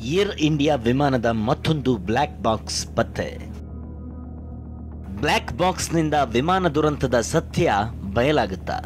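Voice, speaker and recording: A man reads scripted news narration as a voice-over reporter. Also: mid-range voice. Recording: loud music bed behind voice. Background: prominent news background music.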